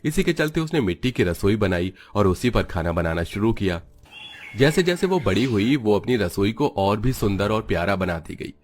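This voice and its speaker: Casting: male narrator with a deep voice for scripted children's cartoon tales. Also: lively intonation, moderate pace, darkish tone, slight background noise.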